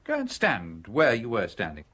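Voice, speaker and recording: An actor playing a shrewd, coolly sardonic stranger in a radio drama, questioning directly and teasingly. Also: male voice, medium pitch, huge pitch swings, moderate pace, pushed and bright, husky, heavily acted. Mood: commanding.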